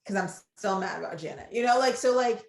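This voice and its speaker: A woman's voice: mid-range voice, vocal fry, a little hiss or hum. Chatty woman with a Ghanaian accent. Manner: animated, rambling, with quick asides and laughs. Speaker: guest author. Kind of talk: casual podcast conversation. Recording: roomy echo.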